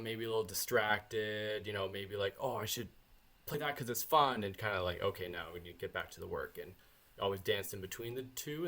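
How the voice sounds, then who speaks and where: A Canadian man, a bassist, chatting as a podcast guest in a relaxed, rambling way. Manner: relaxed, rambling, with many fillers and restarts. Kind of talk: casual interview chat.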